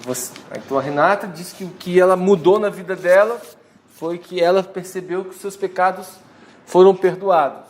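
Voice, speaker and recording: A man's voice: high-pitched, moderate pace. A Brazilian man teaching a church class, prompting the group with questions. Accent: Brazilian Portuguese. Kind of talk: leading a church lesson discussion.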